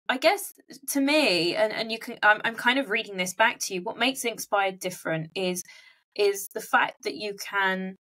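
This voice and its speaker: A woman's voice: mid-range voice, bright, forceful tone. A woman hosting a casual interview, thinking aloud and rephrasing her questions as she goes. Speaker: podcast host and interviewer.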